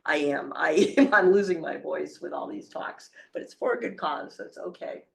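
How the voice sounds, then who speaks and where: A hoarse woman in her forties from the Boston area, speaking with a Boston accent, giving an animated, story-filled talk with many fillers. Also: low-pitched, moderate pace. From Boston area, Massachusetts. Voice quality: gravelly, creaky. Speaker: wildlife conservation speaker. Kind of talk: public talk with slides.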